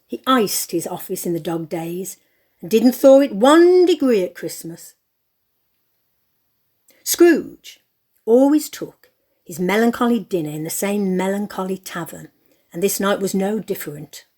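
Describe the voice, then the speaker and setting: A woman's voice: medium pitch, lively intonation, measured pace, pauses often, darkish tone. A woman performing as the narrator of a Victorian audio play, telling the story expressively and emphatically. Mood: relishing, gloomy.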